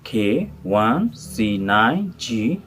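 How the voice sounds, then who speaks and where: Guy, english, really slow n careful, lowish voice, some noise behind. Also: sing-song, fairly bright tone. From Thailand. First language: not English. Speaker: storytelling channel creator. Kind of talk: prepared spoken appeal to camera.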